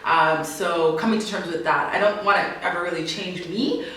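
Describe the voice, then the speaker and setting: Young woman guest, bright and chatty, laughs easily, sits far off mic in a roomy space. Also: fairly low pitch, normal speed, slight background noise. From Canada. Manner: laughs often, animated, chatty. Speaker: interview guest. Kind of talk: casual interview answers. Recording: echoey room, voice from off mic.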